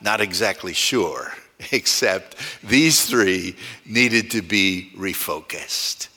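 A man's voice: low-pitched, lively intonation, slow, bright, forceful tone. A male pastor preaching a sermon in a conversational way, asking the congregation rhetorical questions.

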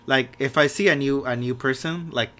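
A man's voice: mid-range voice, normal speed, sharp tone. Blunt young man, casual chat. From Mexican heritage. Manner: blunt, swearing, laughs often. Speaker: podcast co-host. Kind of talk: casual chat between friends.

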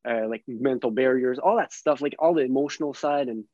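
A man's voice: medium pitch, brisk, darkish tone, dry recording, muffled recording. A man with a French-Canadian accent, a podcast guest talking about himself in an earnest, loose way with lots of "like". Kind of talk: casual podcast interview.

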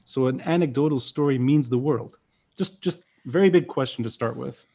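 A man's voice: mid-range voice, normal speed, warm tone, breathy edge. Man, podcast host, earnest, searching, self-correcting. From Lebanon (Beirut). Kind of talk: conversational interview.

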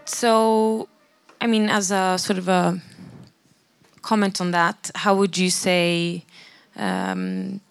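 A woman's voice: lowish voice, expressive, slow pace, vocal fry, clean tone, dry recording, faint music behind. A woman moderating a discussion, who puts her questions in a hesitant, searching way.

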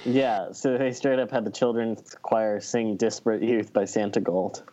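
A man's voice: on the low side, steady tone, normal speed, dark tone, lo-fi sound. A man co-hosting a podcast in casual chat, with a dry, blunt, joking way of talking. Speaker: podcast co-host.

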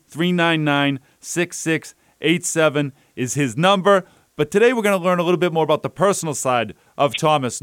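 A man's voice: a little high, fairly bright tone, clear, resonant tone, no room sound, clean studio sound. A man hosting a local radio talk show, chatty and upbeat, rambling a little as he talks.

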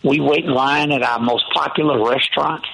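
Male panelist, husky and gravelly, plain-spoken urging, faint noise behind. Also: mid-range voice, steady tone, normal speed, fairly bright tone, low-quality recording. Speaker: panelist, commissioner. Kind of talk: panel commentary, plain exhortation.

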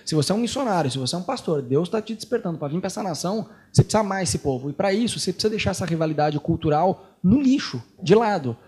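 A man's voice: sits high, animated, rapid-fire, few pauses, a little mellow. A Brazilian man with a Brazilian Portuguese accent who hosts a missionary talk show, speaking emphatically and laughing often. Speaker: missionary host.

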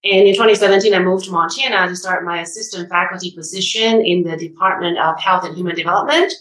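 Female professor from Taiwan, bright clear voice, roomy echo, measured delivery. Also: fairly low pitch, fairly even intonation. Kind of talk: interview guest.

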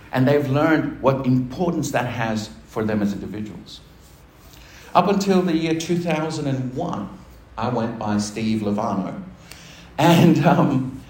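A man's voice: medium pitch, a little mellow. Man preaching in a roomy church. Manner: conversational, self-deprecating, laughing with the congregation. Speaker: pastor. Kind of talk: personal testimony sermon. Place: church hall. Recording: roomy church hall sound.

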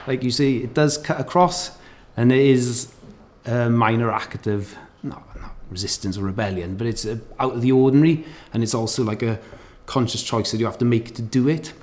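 A man talking in a thoughtful, measured way, with fillers, in a casual academic discussion. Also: mid-range voice.

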